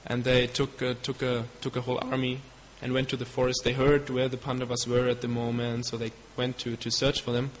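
A man from Germany with a German accent, a devotee giving a storytelling talk. He speaks haltingly and stops to search for English words. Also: medium pitch, completely flat delivery, a little hiss or hum. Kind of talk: storytelling lecture. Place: hall in Belgium. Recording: long hall reverb with faint ambience.

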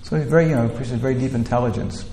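Gravelly man lecturing in a roomy hall. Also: medium pitch, rapid-fire, dark tone, a little hiss or hum. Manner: rambling, many "you know" fillers and restarts. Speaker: teacher leading a scripture class. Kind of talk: informal spiritual lecture. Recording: roomy hall with long echo, faint ambience.

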